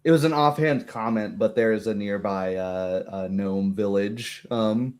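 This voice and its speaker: A man's voice: fairly low pitch, a little slow, a little mellow. A man hosting a streamed tabletop roleplaying game as game master, chatting casually in a rambling, hesitant, good-humored way and laughing easily. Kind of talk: casual livestream chat.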